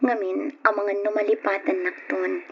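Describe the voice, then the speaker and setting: A creaky-voiced, clingy young Ilocano girlfriend speaks in a plaintive, tender way. It is old radio drama acting on a dull, lo-fi recording. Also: mid-range voice, little pitch movement, moderate pace. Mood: worried, pleading. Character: anxious, clingy young girlfriend, affectionate and pleading.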